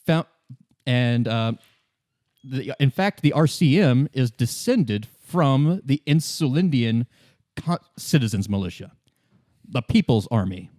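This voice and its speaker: Composed, worldly company woman, acted out by a man for tabletop roleplay; halting explanations, weary air, dry sound. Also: mid-range voice, animated, darkish tone, no echo. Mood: explanatory. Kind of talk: in-character tabletop roleplay exposition.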